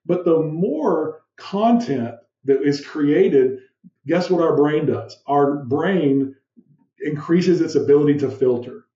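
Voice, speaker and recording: A man, a podcast guest and business founder, explaining ideas in an animated way with rhetorical questions and a big, commanding voice. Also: on the high side, slow, roomy sound, dull audio. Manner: animated, uses vivid room analogies and rhetorical questions; describes his own voice as big and commanding. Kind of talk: explanatory interview talk.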